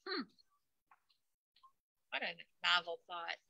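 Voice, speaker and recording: A woman's voice: fairly low pitch, very animated, slow pace, gravelly, bright, forceful tone, no echo, highs cut off. A woman listening as a co-host, offering casual reactions and short questions.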